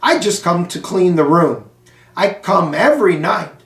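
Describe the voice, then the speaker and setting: Jovial storybook cleaning man read by a male narrator, chatty, folksy and animated, in a roomy echo. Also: high-pitched, measured pace. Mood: chatty, friendly. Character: friendly, jovial male night janitor with a mustache. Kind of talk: children's storybook character voice. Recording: roomy echo, distant microphone.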